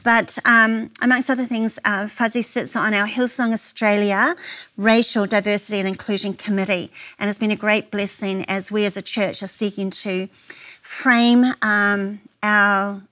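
Effusive bright-voiced woman host. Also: mid-range voice, flat delivery, normal speed. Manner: effusive, gushing, endearments. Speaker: women's conference host. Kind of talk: warm stage interview.

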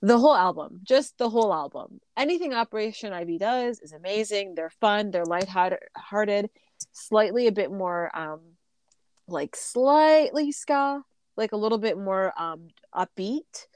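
A woman's voice: mid-range voice, expressive, measured pace, darkish tone, no room sound. A woman co-hosting a podcast, rambling in an easy, chatty way full of fillers and laughs. Her voice is a little stuffy from a recent illness. The sound is close and dry. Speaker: podcast co-host. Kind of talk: casual chat.

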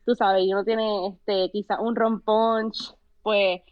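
Relaxed Puerto Rican woman, dull dry sound. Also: medium pitch, slow, no room sound. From Puerto Rico. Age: young adult. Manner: relaxed storytelling, mixing Spanish and English. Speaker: podcast guest. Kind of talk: casual interview chat.

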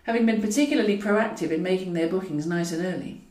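A woman's voice: on the low side, fast, darkish tone. A woman reads scripted fiction as a narrator, with a wry, wordy storytelling manner. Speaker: author reading own audiobook. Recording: roomy echo, distant from mic.

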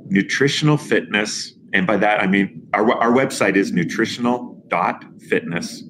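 A man's voice: lowish voice, normal speed, background noise. A man in his fifties, a nutrition coach talking as a guest on a podcast interview, emphatic and motivational. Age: 50s.

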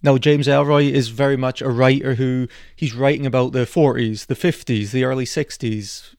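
A man's voice: mid-range voice, steady tone, moderate pace, darkish tone, clear, resonant tone, no echo, studio quality. A man hosting a podcast, chatting casually and putting questions to his guest. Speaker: podcast host.

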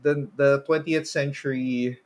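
A man's voice: mid-range voice, steady tone, a little slow. A man with a Filipino accent co-hosting a podcast, chatting casually about pop culture in a hesitant, rambling, self-correcting way. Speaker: podcast co-host. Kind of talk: casual chat about movies and games.

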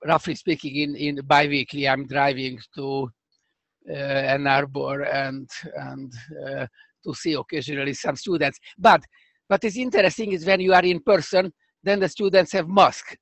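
An older man with a strong Hungarian accent answers interview questions in careful, halting English. His voice sits a little high and has a gravelly fry. The sound is dry and close. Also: little pitch movement, a bit bright, no echo. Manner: halting, searching for words, many restarts. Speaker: professor guest. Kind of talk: remote interview conversation.

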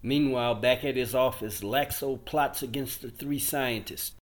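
A man's voice: medium pitch, steady tone, moderate pace. A man narrating an audio drama from a script. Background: music.

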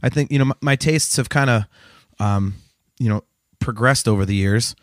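A man's voice: medium pitch, moderate pace, no room sound. Casual man podcast host, close dry sound. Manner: informal, conversational. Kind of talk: casual chat about music albums.

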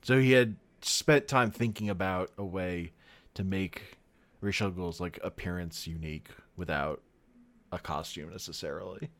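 Low-voiced man explaining things casually. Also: moderate pace, fairly bright tone. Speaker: podcast co-host. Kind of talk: casual unscripted explanatory chat.

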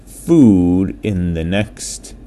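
A man voicing a big, eager, food-loving orca in a children's story, stretching his words slowly and emphatically. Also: deep voice, lively intonation, mellow, a little hiss or hum, full character voice. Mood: challenging.

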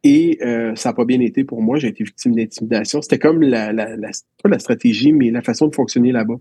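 A man's voice: medium pitch, fast, dark tone, husky, no echo. A man with a Quebec French accent, a teacher reflecting on his schooling in a casual interview, telling stories with frequent restarts. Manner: reflective, storytelling with many restarts.

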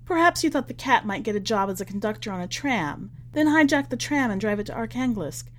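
A woman reading in a measured, expressive way, as a podcast host presenting and narrating short fiction. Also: on the high side, slight background noise. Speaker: podcast host and story reader.

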